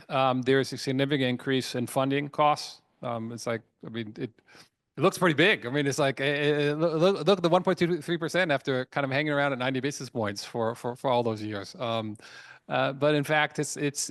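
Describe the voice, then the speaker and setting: Male executive, mid-pitched, halting but emphatic business presenter with frequent word repeats, dry recording. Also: a bit bright, no echo. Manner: halting, repeats words and restarts often. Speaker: company chairman. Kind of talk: earnings call presentation, semi-scripted business talk.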